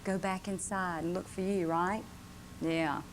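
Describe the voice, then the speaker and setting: A woman's voice: fairly low pitch, steady tone, measured pace. A woman giving an informal fire safety talk to children, often checking with tag questions. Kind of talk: live instructional talk to children. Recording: faint noise on old videotape.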